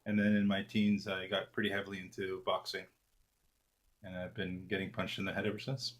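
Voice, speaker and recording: A man in his forties speaks in a fairly low register as an interview guest. He talks casually and wryly about himself, pausing on fillers and restarting phrases. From Argentina. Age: 40s. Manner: relaxed, self-deprecating, frequent fillers and restarts.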